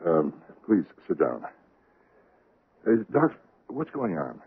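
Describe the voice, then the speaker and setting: An actor playing a brusque, harried hotel manager in a 1970s radio drama. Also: male voice, low voice, wildly swooping pitch, slow pace, gravelly, slightly rough, full character voice. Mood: worried. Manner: brusque, impatient, rattled. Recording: tinny lo-fi old radio broadcast.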